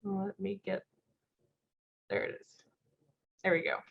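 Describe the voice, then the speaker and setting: A woman with a congested voice from a cold and a slight cough, presenting a software webinar in a friendly, explanatory way with frequent ums. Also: mid-range voice, very animated, takes it slowly, creaky, husky. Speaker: company vice president presenting a software webinar. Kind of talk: live product demo webinar. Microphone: video call mic. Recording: dull lo-fi video call audio.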